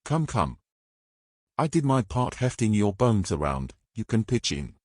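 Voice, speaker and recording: A bossy, roguish treasure-hungry man from a fantasy audio tale, acted by a man. He speaks in brisk, old-fashioned commands with a very animated delivery, recorded dry. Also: lowish voice, no room sound. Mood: bossy, scornful. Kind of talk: fantasy audio story character acting.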